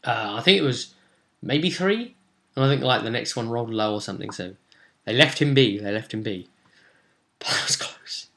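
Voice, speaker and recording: A young man talks casually to the camera as a game master. He tells the story of a tabletop session with dramatic emphasis, rambling along as he goes. His pitch is average for a man. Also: lively intonation, brisk, creaky, a bit bright. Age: young adult. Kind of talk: animated casual recap of a tabletop role-play session. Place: small room at home, indoors.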